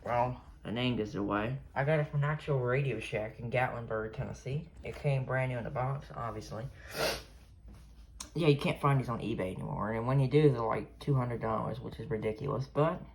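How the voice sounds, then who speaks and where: Rambling man over clear background noise. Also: medium pitch, brisk. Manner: rambling, offhand, trails off mid-thought. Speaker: collector showing off a weather radio collection. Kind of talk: casual, unscripted show-and-tell. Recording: noisy amateur recording with radios.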